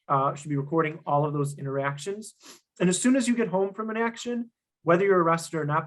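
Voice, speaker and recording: Earnest young man presenting a workshop, slightly hoarse. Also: fairly high pitch, a bit bright, low-quality recording. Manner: earnest, emphatic, with frequent ums and restarts. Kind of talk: instructional presentation.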